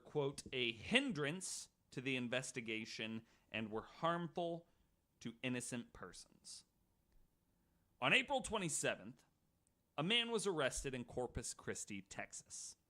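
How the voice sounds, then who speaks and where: A man's voice: medium pitch, bright, forceful tone. A man hosting a podcast, reading prepared true-crime notes and dropping in casual asides. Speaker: podcast host.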